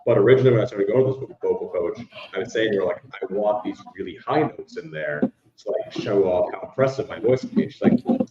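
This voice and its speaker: Low-voiced young man, echoey lo-fi. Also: quick pace, background noise. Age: young adult. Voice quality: baritone speaking voice. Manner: rambling, many "like" fillers. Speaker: musician guest. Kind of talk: casual interview chat. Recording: echoey, dull lo-fi room sound.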